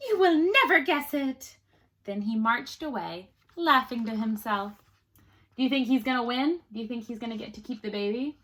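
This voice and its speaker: A woman's voice: a little high, moderate pace, fairly bright tone. A woman teacher reading a story aloud to children, expressively, with chatty questions to the listeners.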